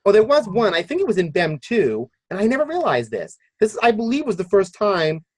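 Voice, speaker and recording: A man hosting a casual podcast discussion, halting as he thinks aloud. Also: sits high, big pitch swings, dry recording. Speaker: podcast host.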